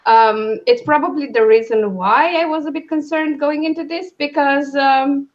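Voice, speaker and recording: Higher-voiced woman chatting about books, lo-fi sound. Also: normal speed. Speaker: book discussion host. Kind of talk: casual livestream book discussion. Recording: dull, lo-fi sound.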